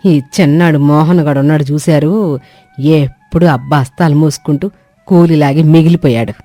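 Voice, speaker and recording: A bossy, money-proud older mother-in-law from a village tale, acted by a woman. She scolds and boasts in homely speech, with a soft dark tone over background music. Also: sits low, steady tone, normal speed, close to an everyday voice. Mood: boastful. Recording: echoey sound with music bed.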